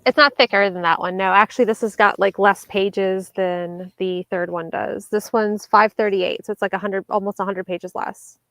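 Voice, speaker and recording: A woman co-hosting a book club discussion over a video call, agreeable and casual, planning the next reads out loud. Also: mid-range voice, fairly even intonation, moderate pace, few pauses, no echo. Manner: agreeable, short replies, plans aloud.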